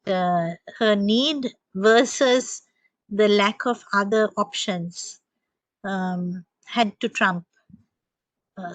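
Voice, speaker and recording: A woman's voice: medium pitch, dry recording, low-quality recording. A woman presenter on a video call panel, answering thoughtfully with frequent hesitations and ums.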